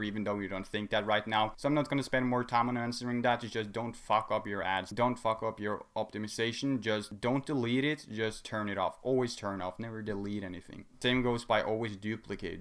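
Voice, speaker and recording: A man's voice: lowish voice, fairly quick, few pauses, fairly bright tone. An eighteen-year-old man hosting a casual question-and-answer vlog, giving blunt advice and repeating his points for emphasis. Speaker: dropshipping channel host answering viewer questions.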